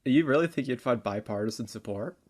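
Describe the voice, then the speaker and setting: A man co-hosting a podcast, discussing politics casually in a halting, informal way and often repeating words. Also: mid-range voice, expressive. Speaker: podcast co-host debating politics.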